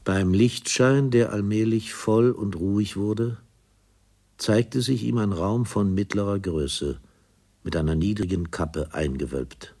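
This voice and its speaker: An actor serving as the grave narrator of a radio play, reading literary prose in a measured manner. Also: male voice, lowish voice, mellow. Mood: grave, descriptive.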